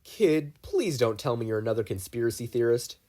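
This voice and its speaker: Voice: medium pitch, animated, brisk, dark tone, natural voice. A reader voicing a stubborn older man who runs a shop, dismissive and blustering. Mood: blustery.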